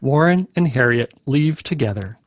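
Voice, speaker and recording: A man narrating stage directions and hosting a table read in a low-key, casual way. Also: expressive, moderate pace, no room sound.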